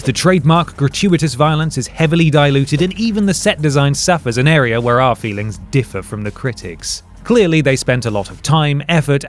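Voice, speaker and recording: A man narrating a scripted video game review with a dry, sardonic delivery. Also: medium pitch. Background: faint background music.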